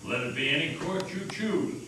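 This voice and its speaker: A man's voice: a little high, animated, moderate pace, natural voice. A man playing a proud, indignant American statesman, declaiming defiantly in a dramatic reading of a story. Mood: proud, insistent. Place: meeting house. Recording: echoey hall, distant mic, faint noise.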